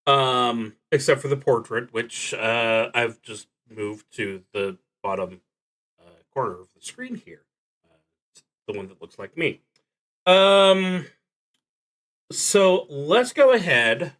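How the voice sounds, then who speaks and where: A man with a mid-pitched voice hosts a solo tabletop role-playing stream. He talks very slowly and casually, often pausing mid-sentence to find the next phrase. His delivery is wry and self-deprecating. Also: animated, fairly bright tone. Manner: halting, with long pauses mid-sentence. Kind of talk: casual livestream talk introducing a game.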